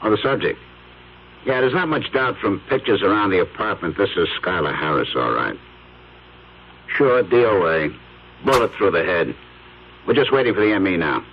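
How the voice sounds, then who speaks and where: An actor playing a gruff, world-weary police detective, colloquial and matter-of-fact, in an old-time radio drama. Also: male voice, low voice, sing-song, moderate pace, pauses often. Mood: matter-of-fact, skeptical. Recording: dull, hissy vintage radio recording.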